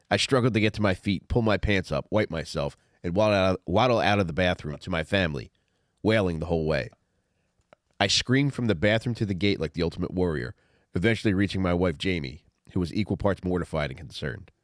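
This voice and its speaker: Deep-voiced, blunt middle-aged man. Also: lively intonation, a little fast, no echo, clean studio sound. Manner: blunt, profane, conversational. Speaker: author reading own memoir and podcast host. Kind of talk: audiobook reading with casual comic asides.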